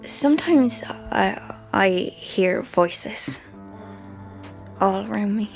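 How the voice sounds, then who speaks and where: Creaky, hesitant young poet. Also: female voice, mid-range voice, expressive, very slow, soft background music, exaggerated voice. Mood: timid, haunted. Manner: hesitant, fragile. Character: timid, troubled young female would-be poet. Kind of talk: radio drama acting.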